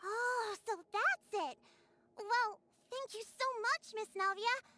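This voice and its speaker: A woman voicing an eager little girl who is excited and wide-eyed. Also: very high-pitched, slow, heavily acted. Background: game music.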